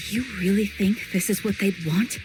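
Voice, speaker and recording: An actress playing a composed, resolute military sniper heroine in a video game, speaking in a measured, solemn way. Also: female voice, mid-range voice, steady tone, loud music behind the voice, close to an everyday voice.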